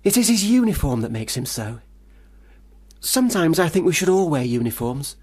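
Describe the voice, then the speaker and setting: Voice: mid-range voice, big pitch swings, normal speed, a little mellow, husky. An actor in a radio play playing a bossy, imaginative young boy who speaks in a pompous, pedantic, declaiming way. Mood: matter-of-fact, lofty. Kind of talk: radio drama acting.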